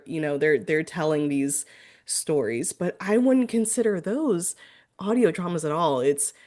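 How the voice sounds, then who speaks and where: Woman host, low-ish voice, easygoing thoughtful interviewer, ums between ideas. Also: darkish tone. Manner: agreeable, thoughtful, with filler ums. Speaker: podcast interviewer. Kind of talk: casual interview chat.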